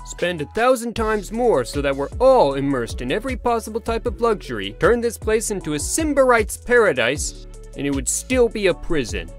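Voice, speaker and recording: A man as a high-pitched, swooping talking farm animal. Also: big pitch swings, normal speed, soft background music. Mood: indignant. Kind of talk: comic dub voice acting.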